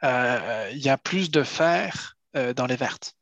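A man's voice: medium pitch, lively intonation, takes it slowly, a bit bright, dry recording, muffled recording. A middle-aged man from France answering interview questions, explaining at length and often repeating words as he finds them. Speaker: seaweed harvester and entrepreneur.

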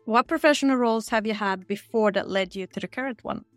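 A woman hosting an interview, reading scripted questions in a steady, measured way. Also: a little high, dry recording, faint music behind. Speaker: podcast interviewer. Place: Stockholm.

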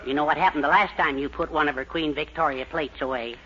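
An actor playing a meek, henpecked middle-aged husband, resigned and dryly fretful, in a 1940s radio sitcom. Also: male voice, a little high, steady tone, fast, bright, forceful tone. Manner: resigned, dry. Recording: muffled, noisy 1940s radio recording.

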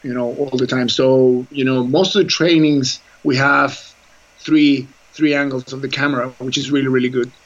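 A man's voice: mid-range voice, steady tone, moderate pace, highs cut off. A man who is not a native English speaker, a rugby scrum coach talking earnestly in an interview, with frequent restarts and fillers. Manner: earnest, many restarts and "you know" fillers. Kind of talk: conversational interview. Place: New Zealand.

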